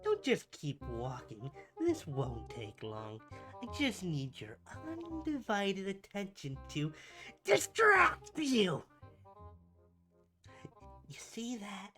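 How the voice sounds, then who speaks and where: A man's voice: high-pitched, huge pitch swings, takes it slowly, bright, forceful tone, soft background music, exaggerated voice. A man playing a pompous, melodramatic supervillain in a scripted ASMR roleplay, a bumbling rival who slips between grand speeches and chatty asides. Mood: exasperated, scolding. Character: theatrical, bumbling supervillain, gloating yet secretly caring rival.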